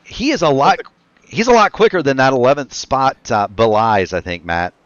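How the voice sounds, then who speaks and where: A man calling a virtual stock car race as play-by-play commentator, quick and excitable on the action, his voice getting tired. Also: mid-range voice, no echo, dull audio. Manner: rapid excited play-by-play, self-correcting. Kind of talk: sim racing commentary.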